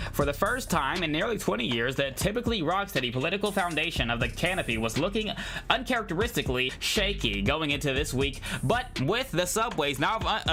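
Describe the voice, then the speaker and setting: Slangy man streaming over loud music. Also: a little high. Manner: slangy, jokey, stumbles over long words. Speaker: livestreamer playing a visual novel. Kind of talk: live game commentary mixed with reading on-screen text aloud. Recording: music playing behind the voice.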